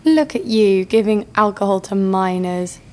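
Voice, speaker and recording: A woman's voice: mid-range voice, animated, a little slow, warm tone, close to an everyday voice. A young woman playing a sarcastic, foul-mouthed bounty hunter who narrates her own story in an audio drama. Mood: sarcastic, teasing. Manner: dry, sardonic. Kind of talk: first-person narration and dialogue in audio drama. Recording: music behind the voice.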